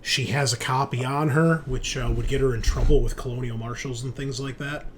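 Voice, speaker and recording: A man's voice: mid-range voice, fairly even intonation, a little fast, a crowd in the background. A man running a tabletop game, narrating and giving rulings off the cuff as game master.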